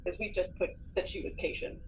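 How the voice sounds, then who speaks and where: A woman chatting casually as a player in a tabletop game, upbeat and expressive. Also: medium pitch, a little fast, slight background noise, low-quality recording.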